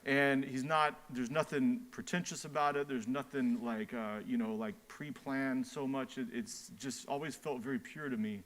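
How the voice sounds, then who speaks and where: A man's voice: mid-range voice, fairly even intonation, moderate pace, hardly any pauses, a bit bright. A man hosting a livestream concert, chatting casually and eagerly agreeing with the musicians. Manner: eager, agreeable, many repeated affirmations. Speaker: livestream host. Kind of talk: casual interview chat.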